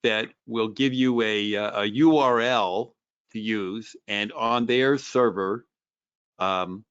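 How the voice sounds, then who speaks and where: A man hosting a technical show on a video call, asking questions and summarizing answers with halting restarts. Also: fairly low pitch, fairly bright tone, smooth, no room sound. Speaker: host. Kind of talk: interviewing a guest on a video call. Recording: dry, dull lo-fi video call audio.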